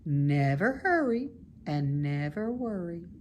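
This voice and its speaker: A woman voicing a calm, motherly female spider in a storybook, measured, comforting and gently firm. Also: on the low side, a little hiss or hum.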